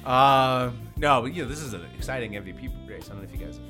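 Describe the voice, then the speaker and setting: An animated male podcast host with a medium pitch and big swings in intonation. He banters casually, trips over words and chuckles at his own jokes, with music behind him. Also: fast talker.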